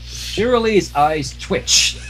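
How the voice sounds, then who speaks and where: A man's voice: sits high, lively intonation, slow, a bit bright. A man informally reading a fan story aloud on a livestream, adding his own offhand comments. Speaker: livestream fanfiction reader. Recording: noisy home livestream microphone.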